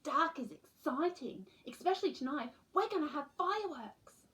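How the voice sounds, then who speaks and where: A friendly little boy in a storybook acted by a woman reader. His voice is high and animated in pitch. He is excited and stresses his words with enthusiasm. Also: normal speed. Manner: enthusiastic, emphatic.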